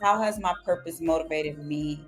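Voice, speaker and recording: A woman's voice: deep voice, normal speed, faint music behind. A young woman guest on a casual podcast, talking animatedly and acting out her own self-talk. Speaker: guest journalist.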